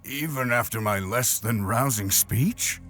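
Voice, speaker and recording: A man voicing a sombre, resolute war leader in a video game, with a formal, measured and grave manner. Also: medium pitch, fairly bright tone, faint music behind. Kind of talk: video game character voice acting.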